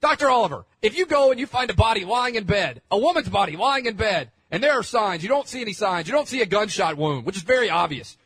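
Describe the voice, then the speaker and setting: A man's voice: high voice, a bit bright, breathy edge, dry recording, exaggerated voice. A man voicing a pushy, dramatic female cable-news legal host, interrogating guests in clipped, insistent phrases as a parody reading.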